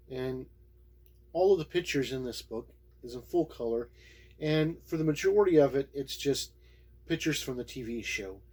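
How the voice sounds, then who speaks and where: A man giving a casual, unscripted game review in a halting, plain-spoken way, as a reviewer talking to viewers. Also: mid-range voice, warm tone, breathy edge. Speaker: YouTube tabletop game reviewer.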